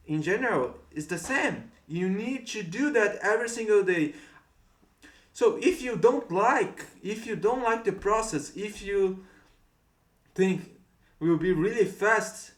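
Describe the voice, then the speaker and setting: A man's voice: a little high, roomy sound. A man from Brazil with a Brazilian Portuguese accent, a native Portuguese speaker, giving earnest advice on learning English and repeating his encouraging phrases. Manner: earnest, repetitive coaching phrases. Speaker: English tutor. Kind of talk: motivational talk to camera.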